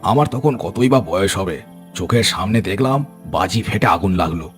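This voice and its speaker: A man's voice: low voice, expressive, moderate pace, faint music behind. An actor playing a talkative, well-meaning older village man who admonishes and exclaims, in an audio story. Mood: admonishing.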